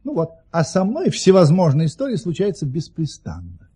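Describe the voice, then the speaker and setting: An actor playing a genial, unflappable baron who spins tall tales with mock-earnest theatrical relish in an audio play. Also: male voice, on the high side, huge pitch swings, normal speed, mellow, husky, full character voice. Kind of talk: Russian audio play acting, storytelling.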